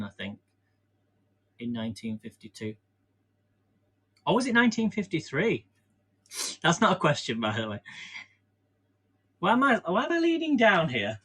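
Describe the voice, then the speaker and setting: A man's voice: on the high side, sing-song, unhurried, long pauses, pushed and bright, slightly rough. Chatty English man on a live stream. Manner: chatty, rambling, self-deprecating, laughs often. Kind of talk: casual live-stream chat while baking. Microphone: camera on a stand.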